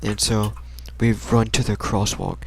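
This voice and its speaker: Deep, gravelly young American man telling stories. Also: level tone, a little slow. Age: young adult. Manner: rambling, slangy, hesitant delivery. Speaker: storyteller. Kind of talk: casual storytime anecdote.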